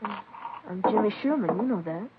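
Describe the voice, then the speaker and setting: A woman's voice: medium pitch, expressive, a little fast, heavy background noise, lightly acted. A woman playing a runaway boy passing himself off as another child, chatty and evasive, in a 1950s radio drama. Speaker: boy impostor. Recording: dull vintage radio recording.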